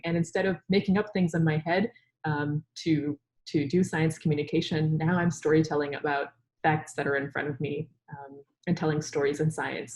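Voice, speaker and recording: A woman's voice: deep voice, darkish tone, poor audio. A young woman, a native Urdu speaker, answering interview questions on a video call with an upbeat, storytelling manner and frequent ums. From Pakistan. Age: young adult. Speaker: guest scientist. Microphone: headset on video call.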